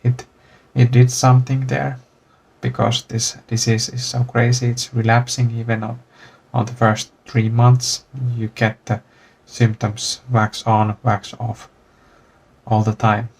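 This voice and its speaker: Man from finland, 30s, husky gravelly voice, halting patient testimony. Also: medium pitch, level tone, a little mellow. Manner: halting, searching for words. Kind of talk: recorded personal testimony.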